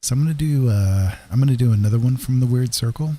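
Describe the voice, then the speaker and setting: A man chatting casually with live stream viewers in an easygoing, rambling way, halting with frequent pauses. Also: mid-range voice, dark tone, no room sound. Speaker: live streamer, digital painter and storyteller.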